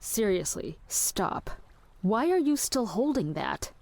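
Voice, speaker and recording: A woman's voice: medium pitch, big pitch swings, slow pace, vocal fry, darkish tone, no echo, full character voice. An actress playing a practical, exasperated woman with a dry, weary manner in an audio drama. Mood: disgusted. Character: exasperated, queasy, practical woman minding her raving companion. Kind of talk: scripted audio drama acting.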